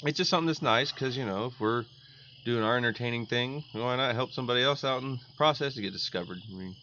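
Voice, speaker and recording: An American man co-hosting a podcast in casual chat, halting, repeating himself and trailing off. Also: mid-range voice, bright, forceful tone. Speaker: podcast co-host. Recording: dull lo-fi audio, noise, insect-like ambience.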